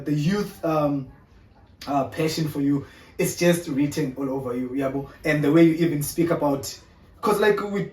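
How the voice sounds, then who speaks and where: A man hosting a casual interview chat, speaking in a relaxed way and chiming in often with short affirmations. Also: fairly high pitch. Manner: relaxed, frequent filler affirmations. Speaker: host. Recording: echoey room, distant mic.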